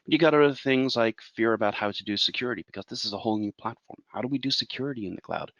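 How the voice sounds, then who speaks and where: An Irish man in his forties presents a webinar as a consultant, explaining in a measured way and asking rhetorical questions. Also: medium pitch, fairly bright tone, no room sound, highs cut off. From Ireland.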